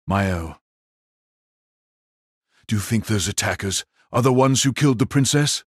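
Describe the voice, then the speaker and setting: Man voicing brooding dressmaker magus, hoarse, slow, measured, dry close sound, audiobook drama. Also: fairly low pitch, lively intonation, dry recording. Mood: grave. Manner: measured, probing. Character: gloomy, probing male dressmaker mage devoted to beauty.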